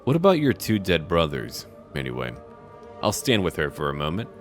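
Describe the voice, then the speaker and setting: A man hosting a roleplay gameplay video, recapping and musing aloud in a measured way as he plays. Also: low voice, wildly swooping pitch, faint music behind. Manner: measured, musing, talks to himself. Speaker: let's play host. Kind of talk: narrated roleplay gameplay commentary.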